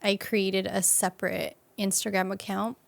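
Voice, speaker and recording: Easygoing woman on a podcast, some vocal fry, dry close sound, chats real casual. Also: medium pitch, little pitch movement, moderate pace, no room sound. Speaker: podcast guest being introduced as new series host. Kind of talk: casual chat.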